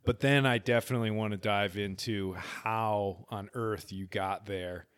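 A man's voice: lowish voice, fairly even intonation, measured pace, a bit bright, smooth, no echo, studio quality. A man in his forties hosting a podcast, talking in an earnest, rambling way with easy laughs as he interviews an old friend. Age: 40s. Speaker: podcast host. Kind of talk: casual conversational interview.

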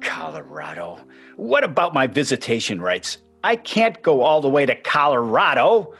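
An actor playing an aggrieved, sentimental ex-husband and sports fan in a radio play, speaking plaintively. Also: male voice, a little high, sing-song, moderate pace, fairly bright tone, husky, faint music behind, full character voice. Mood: indignant.

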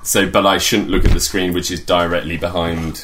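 A man's voice: sits low, level tone, a little fast, background noise. A man co-hosting a casual podcast, playful and jokey in his banter. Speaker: podcast co-host.

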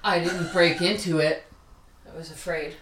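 A woman's voice: fairly low pitch, fairly even intonation, moderate pace, a bit bright, slight background noise. A woman co-hosting a podcast, chatting casually in an informal, blunt way. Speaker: podcast co-host. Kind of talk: casual chat, reacting and adding recollections. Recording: roomy echo, distant from mic.

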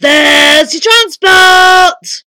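A woman voicing a huge, jolly male giant wizard in a children's storybook, booming and exclaiming heartily. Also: drawn-out words, pushed and bright, no room sound, full character voice. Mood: proud, hearty.

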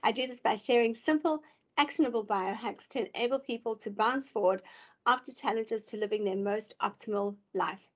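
Husky woman hosting a podcast. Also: a little high, a little fast, lo-fi sound. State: short on sleep. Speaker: podcast host. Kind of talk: podcast interview.

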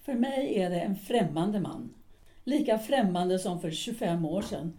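An actress playing a bitter middle-aged wife and former actress who speaks with cool, resigned contempt in an audio drama. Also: female voice, fairly low pitch, a little hiss or hum, lightly acted. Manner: cool, flat and resigned, turning cutting.

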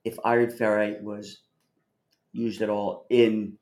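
A man's voice: on the low side, little pitch movement, slow pace, a little mellow. A man hosting a casual call-in discussion, talking in a blunt, rambling way with plenty of swearing. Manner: profane, blunt, rambling explainer. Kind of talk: casual chat.

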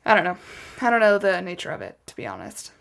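Chatty woman with a creaky voice. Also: on the low side, big pitch swings, fast, fairly bright tone. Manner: chatty, wry asides. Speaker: podcast host. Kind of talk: casual true crime storytelling from notes.

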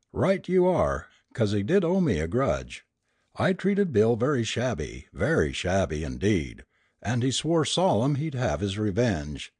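A man voicing a coarse old-time burglar who talks in rough working-class slang, eager and pleading. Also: medium pitch, darkish tone, no room sound, clean studio sound, pitched above the performer's own voice, full character voice.

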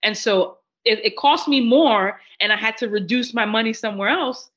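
A woman's voice: on the high side, moderate pace, a bit bright. A woman earnestly sharing her personal health story as a guest, reflective and conversational. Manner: earnest, reflective, many "like" fillers. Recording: dull lo-fi audio.

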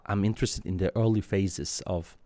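A man in his thirties from Sweden, answering interview questions in a thoughtful, halting way with many fillers and restarts. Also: sits low, fairly even intonation, dark tone, no echo. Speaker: guest.

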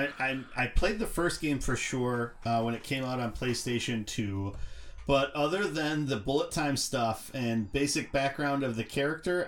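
Male podcast co-host, average pitch, casual and explanatory film talk. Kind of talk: casual movie discussion.

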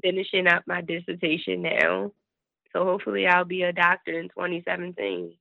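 Raspy woman getting over a cold, gravelly voice, thin phone-line sound, chatty guest on a podcast. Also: fairly low pitch, brisk, no room sound. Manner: chatty, laughs easily. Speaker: podcast guest, business consultant. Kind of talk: casual interview talk.

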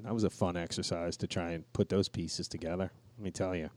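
A man with a fairly low voice talks loosely in a podcast style. He rambles through stories, swears freely and slips into quoted asides. The sound is close and dry, with little room. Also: a little mellow, no echo. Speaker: podcast co-host. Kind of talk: casual podcast chat with profanity.

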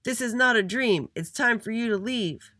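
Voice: mid-range voice, animated, fairly quick, dry recording, clean studio sound, pitched above the performer's own voice. A storyteller voicing indignant schoolchildren who snap and accuse in a children's story. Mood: accusing. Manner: shouting, snappy, accusing. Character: indignant schoolchildren confronting a bossy monitor.

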